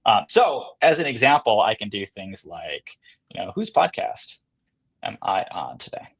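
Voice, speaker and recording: A man speaking as an interview guest, explaining and demonstrating software in a casual, conversational style. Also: on the low side, big pitch swings, measured pace, creaky, husky. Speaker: podcast guest, company co-founder and CEO.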